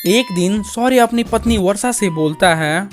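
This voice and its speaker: A woman's voice: mid-range voice, normal speed. Woman narrating a story over music. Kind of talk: scripted Hindi cartoon story narration.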